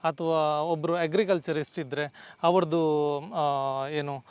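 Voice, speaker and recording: A man's voice: fairly high pitch, fairly even intonation, slow, slight background noise. A man giving explanatory answers as an executive guest, often mixing in English words. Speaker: company CEO, guest.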